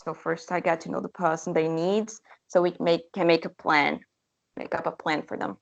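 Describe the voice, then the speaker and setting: A woman's voice: lowish voice, fairly even intonation, moderate pace, gravelly, highs cut off. A young woman whose first language is Portuguese, a language teacher answering interview questions eagerly, with many restarts. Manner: enthusiastic, talkative, with restarts.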